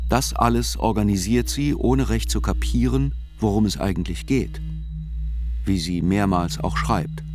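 A man reading scripted literary narration as the narrator of a radio play. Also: fairly low pitch. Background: soft music.

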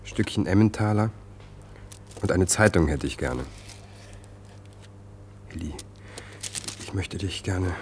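Low-voiced, wry, probing homeless man. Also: animated, slow, pauses often, a little mellow, a little hiss or hum, full character voice. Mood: courteous, wry. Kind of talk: German crime radio drama acting.

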